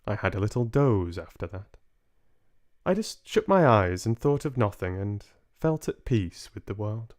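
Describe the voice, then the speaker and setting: Slow, expressive young man reading aloud. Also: lowish voice. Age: 20s. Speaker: reader and book club host. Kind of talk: expressive audiobook-style children's story reading. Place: small home room, indoors.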